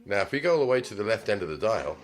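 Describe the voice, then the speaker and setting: A man demonstrating a car radio in his small repair shop, talking casually, with ums and throat clearing. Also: on the low side, sing-song, very fast. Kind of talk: casual hands-on product demonstration. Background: car radio playing music.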